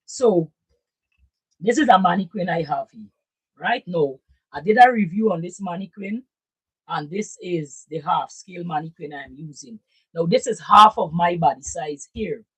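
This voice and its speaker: Hoarse lady doing a sewing tutorial, raspy voice, chatty, keeps saying right?. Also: lowish voice, expressive, moderate pace, a bit bright, no room sound, poor audio. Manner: chatty, repeats points, keeps asking "right?". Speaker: sewing and pattern-drafting teacher. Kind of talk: casual live tutorial. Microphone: camera set up in front of her.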